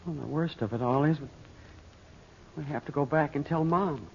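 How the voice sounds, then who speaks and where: A male actor playing an eager, anxious boy in a 1940s radio adventure, earnest and excitable. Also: a little high, normal speed, gravelly, breathy edge. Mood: distraught, guilty. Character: eager, anxious boy searching for his lost father. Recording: muffled old radio recording, faint noise.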